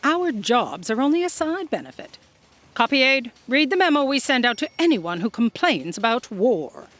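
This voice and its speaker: A woman's voice: a little high, big pitch swings, moderate pace, fairly bright tone, a little hiss or hum. A woman playing an imperious, self-serving female boss in a scripted comedy audio drama, pompous and authoritative. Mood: smug.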